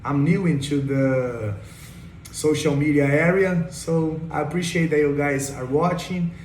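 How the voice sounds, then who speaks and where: A man's voice: a little high, measured pace, darkish tone. A young man from Brazil with a Brazilian Portuguese accent, enthusiastically walking viewers through his day trade and repeating "check this out". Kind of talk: screen-share trade breakdown. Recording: echoey room with faint noise.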